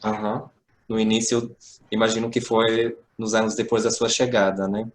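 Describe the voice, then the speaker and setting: A male interviewer with a fairly low, flat voice. He mostly keeps to short murmurs of assent and asks only the occasional question. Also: normal speed. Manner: brief, encouraging murmurs between longer questions.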